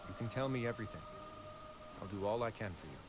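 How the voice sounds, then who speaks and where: A man plays a protective, authoritative duke in a video game. His voice is on the low side, measured and firm, reassuring yet commanding. Music is clearly audible behind him. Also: close to an everyday voice. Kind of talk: scripted game voice acting.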